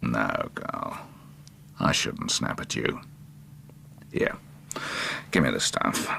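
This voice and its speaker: An actor playing an ailing, irritable old father in a radio drama, hoarse, weary and commanding. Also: extremely low pitch, very animated, takes it slowly, pushed and bright, a little hiss or hum, heavily acted. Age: older. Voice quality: hoarse, gravelly, coughing.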